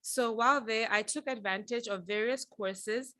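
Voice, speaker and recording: A young woman from Zambia talks through her career path at a panel event in an earnest, reflective way. Her voice is bright and forward, recorded close and dry with almost no room sound. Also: a little high, moderate pace, no room sound. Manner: earnest, reflective, with some filler ums. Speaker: panel speaker. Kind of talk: prepared personal talk at a panel.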